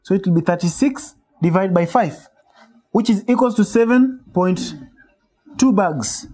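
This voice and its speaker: A man's voice: high voice, a little slow, mellow. A man, teaching step by step and answering his own questions. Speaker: poultry farming instructor. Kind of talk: step-by-step whiteboard lesson. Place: small shed with metal walls.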